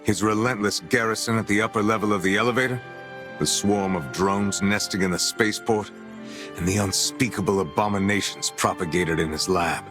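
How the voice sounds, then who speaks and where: Low-voiced grave commander played by a man. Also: music in the background, lightly acted. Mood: grave, resolute. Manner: formal, measured. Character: grave, measured male commander briefing a warrior. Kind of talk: video game mission briefing.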